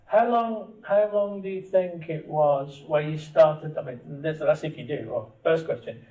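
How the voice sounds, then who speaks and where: Mellow male podcast host, dull roomy sound. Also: fairly high pitch, a little slow. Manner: asks long, restarting questions. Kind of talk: casual family podcast chat. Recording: dull, echoey, distant mic.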